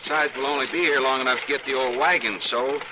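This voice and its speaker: An actor playing a broke, hot-tempered, protective young husband in an old radio western, with folksy speech. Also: male voice, mid-range voice, fairly even intonation, fast, lightly acted. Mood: hopeful, hesitant. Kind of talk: 1950s-60s radio western acting. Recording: noisy old radio recording.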